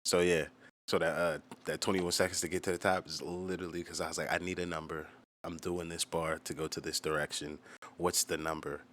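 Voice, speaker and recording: A man's voice: deep voice, level tone, brisk, a bit bright, slight background noise. A man giving casual, profanity-laced commentary on his own music, telling stories and acting out quoted exchanges as a musician hosting his channel.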